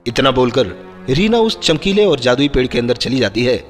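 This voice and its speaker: A man's voice: mid-range voice, sing-song, normal speed. A man narrating a scripted moral story for children as a storyteller. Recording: long reverb, music bed.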